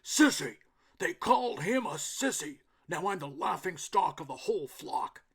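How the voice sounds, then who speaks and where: A woman voicing a gruff, stern duck father who bellows and blusters, read as a storybook. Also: deep voice, huge pitch swings, slow, bright, forceful tone, pitched above the performer's own voice, exaggerated voice. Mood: angry.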